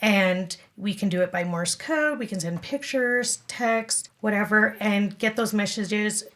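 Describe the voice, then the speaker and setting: A woman's voice: mid-range voice, unhurried, a bit bright. A woman speaking as an interview guest, explaining things conversationally with everyday examples and checking that listeners follow. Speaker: podcast guest, amateur radio club event director.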